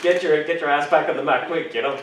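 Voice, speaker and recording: A man hosting a podcast, telling a story quickly and with animation. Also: a little high, a little hiss or hum. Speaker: podcast host, jiu-jitsu referee. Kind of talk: casual chat, storytelling. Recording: very echoey room, distant mic.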